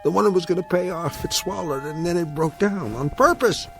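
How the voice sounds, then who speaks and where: An actor playing a paranoid, obsessed gambler husband in a radio drama, intense and given to stammering repetitions. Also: male voice, high voice, brisk, creaky, faint music behind. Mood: outraged. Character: obsessed, paranoid gambler husband, grimly stubborn then panicked.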